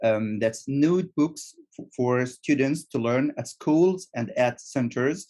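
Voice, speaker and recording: A man's voice: mid-range voice, slow pace, dark tone. Man speaking English with restarts. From Egypt. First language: Arabic. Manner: repeats words, restarts often. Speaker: guest, teacher trainer. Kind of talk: casual interview.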